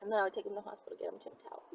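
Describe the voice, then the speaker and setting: A woman's voice: medium pitch, flat delivery, rapid-fire, darkish tone. Woman chatting frankly, distant noisy mic. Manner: frank, conversational, emphatic. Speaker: video host answering viewer questions. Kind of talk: casual chat. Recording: distant mic with clear background noise.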